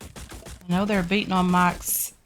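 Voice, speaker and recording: A woman's voice: fairly low pitch, flat delivery, slow pace, a little hiss or hum. A woman with a Southern US accent talks her viewers through a survival game in a loose, chatty way, sprinkling in ums and calling them guys. Her voice sounds stuffy and congested from a sinus infection. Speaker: gaming channel host. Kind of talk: casual game commentary.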